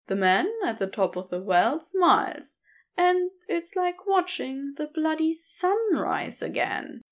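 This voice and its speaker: A woman narrating a scripted story in an expressive, measured storytelling manner. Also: sits high. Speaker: podfic narrator.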